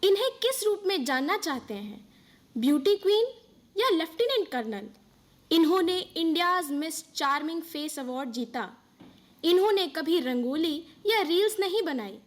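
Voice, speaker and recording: A female presenter reciting a scripted stage narration in a declamatory, rhetorical manner. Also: high-pitched. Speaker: stage presenter in a school performance.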